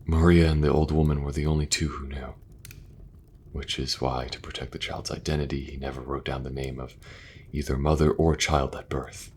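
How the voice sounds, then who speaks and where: A soft-spoken young king with an extremely deep, low voice, voiced by a man, gentle and earnest, stumbling over his words when a subject turns awkward. He sounds like a figure in a fantasy audio drama, with a faint hum of noise under him. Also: little pitch movement, moderate pace. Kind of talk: audio roleplay acting.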